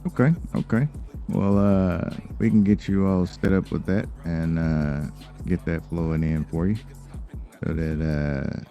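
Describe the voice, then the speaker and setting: Deep, gravelly, mellow crime-boss lawyer played by a man. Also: fairly even intonation, unhurried, faint music behind. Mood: relaxed, businesslike. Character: smooth, calm crime-boss lawyer in a crime roleplay. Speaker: gaming streamer playing a character. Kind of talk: improvised roleplay conversation.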